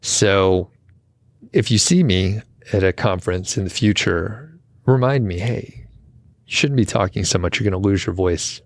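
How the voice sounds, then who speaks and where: A low-voiced man chats casually through a podcast intro, wandering off on self-deprecating tangents. His voice carries a slight gravelly edge from recent overuse. The sound is dry and close. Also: unhurried, no room sound. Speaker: podcast co-host. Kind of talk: casual solo intro chat.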